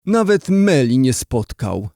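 A man's voice: mid-range voice, big pitch swings, slow, darkish tone, no echo, studio quality. A man narrating a scripted story for children, as a storyteller.

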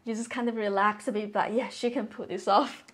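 A young adult woman giving casual, excited reaction commentary in an expressive, exclaiming way, recorded on a lapel mic in a bedroom. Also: mid-range voice, fairly quick. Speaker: reaction video host. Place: small bedroom, indoors. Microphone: clip-on lapel mic.

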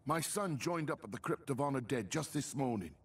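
A man's voice: mid-range voice, expressive, a little fast, husky, recorded from a distance. An actor playing a humble, earnest villager and father in a fantasy game, rustic in manner. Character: humble, grateful male villager, worried father.

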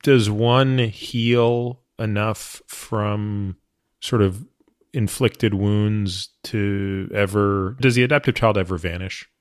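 A man's voice: lowish voice, fairly even intonation, takes it slowly, no room sound, clean studio sound. A man hosting a podcast, asking long, thoughtful questions. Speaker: podcast host. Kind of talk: interview questions.

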